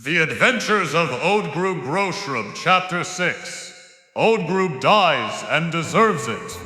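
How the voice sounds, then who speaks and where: Slow male narrator in long reverb. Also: a little high, big pitch swings, bright, forceful tone. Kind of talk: scripted, dramatic story narration. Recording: echoey hall reverb, music underneath.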